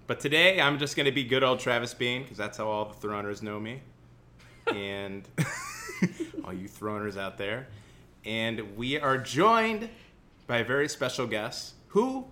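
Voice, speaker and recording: A man's voice: medium pitch, big pitch swings, measured pace, pushed and bright, slight background noise. A young man with a Central Illinois accent co-hosting a casual podcast, joking and laughing often. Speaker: podcast co-host.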